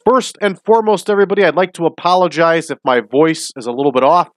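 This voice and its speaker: A man's voice: on the high side, steady tone, fast talker, no echo, clean studio sound. A man with a stuffy, nasal voice from a sinus infection, hosting a wrestling simulation series in upbeat casual commentary. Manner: upbeat, addresses viewers as everybody. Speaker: host of a wrestling sim series.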